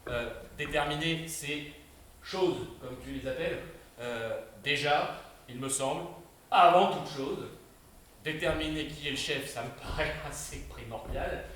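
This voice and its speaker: An actor in a live improv show playing a pompous, sarcastic meeting chairman who speaks haltingly with fillers. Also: a little high, a bit bright. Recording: echoey stage from a distance.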